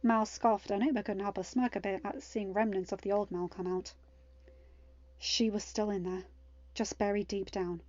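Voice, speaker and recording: A woman's voice: mid-range voice, fairly even intonation, moderate pace, a little mellow, no room sound, muffled recording. A woman reading a story aloud as scripted narration. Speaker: podfic reader.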